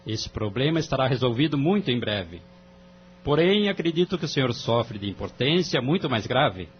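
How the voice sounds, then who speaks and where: A Brazilian man playing a calm, wise family-court judge in an audio drama, speaking in a formal, measured, moralizing way. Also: medium pitch, slight background noise, lightly acted. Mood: firm, counselling. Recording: dull lo-fi audio, music underneath.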